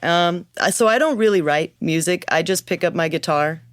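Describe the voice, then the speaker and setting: A musician guest with a slightly raspy voice, chatting easily and laughing often in an interview. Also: medium pitch, normal speed, no echo, clean studio sound. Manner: easygoing, laughs often, talks freely. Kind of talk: casual interview chat.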